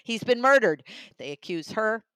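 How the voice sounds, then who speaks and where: A woman's voice: on the high side, animated, normal speed, fairly bright tone, clean tone, dry recording, rough recording. A woman talking enthusiastically and emphatically in a conversational book review. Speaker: audiobook reviewer.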